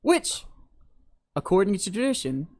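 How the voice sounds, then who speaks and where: A man hosts a podcast and jokes with his guest as he leads into the next segment. His pitch is lively, and there is a faint noise in the background. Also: creaky. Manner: teasing, quick jokes. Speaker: podcast host. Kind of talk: casual banter.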